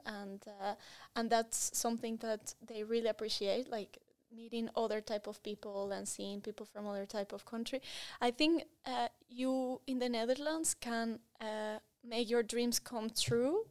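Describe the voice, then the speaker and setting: Woman in her 30s, latin american spanish accent, kinda high voice, chatting through interview answers, upbeat and expressive. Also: clear, resonant tone. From Colombia and Spain. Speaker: interview guest.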